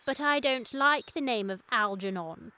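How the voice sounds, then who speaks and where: A woman's voice: a little high, measured pace, bright, forceful tone. A British woman playing a sweet, dreamy young lady in a comic period radio play, speaking in a prim, precise way. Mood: dreamy, coquettish.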